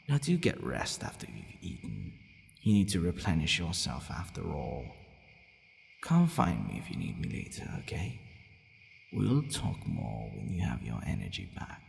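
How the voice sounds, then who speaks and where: A man's voice: sits low, gravelly, darkish tone, exaggerated voice. A man playing a haughty, possessive vampire who is gradually growing fond of his captive, in an ASMR roleplay, with a teasing, commanding manner and hesitant asides. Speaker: audio roleplay voice actor. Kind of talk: ASMR boyfriend roleplay acting. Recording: long reverberant hall echo.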